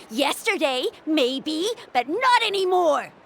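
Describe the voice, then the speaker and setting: A woman's voice: high voice, huge pitch swings, slow, vocal fry, noisy background, heavily acted. A woman voicing an earnest, loyal, easily hurt young boy in a video game. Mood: wistful.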